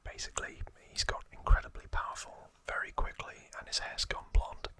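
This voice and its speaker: A man's voice: a little fast, bright, forceful tone. A man hosting an ASMR channel, whispering an informal trivia recap with hesitant, musing asides.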